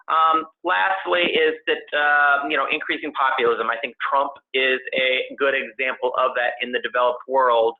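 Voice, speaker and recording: A man giving a technical investment presentation on a conference call, speaking in a halting, hedging way as an analyst. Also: mid-range voice, fairly even intonation. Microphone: conference call line. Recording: tinny phone-line conference call audio.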